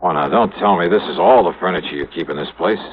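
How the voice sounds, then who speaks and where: An actor playing a dogged, sceptical private investigator in a 1950s radio drama, with a clipped, wry manner. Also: male voice, deep voice, fast, breathy edge. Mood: suspicious, needling. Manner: clipped, wry, hard-boiled questioning and narration. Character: dogged, sceptical male private investigator narrating his case. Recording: tinny vintage radio recording with music.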